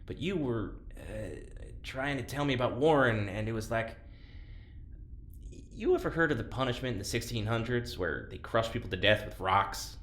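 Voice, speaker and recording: A man playing a weary, wry patient in an audio drama, talking in a hesitant, halting way. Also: mid-range voice, expressive, fairly bright tone, roomy sound, natural voice. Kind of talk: naturalistic audio drama acting.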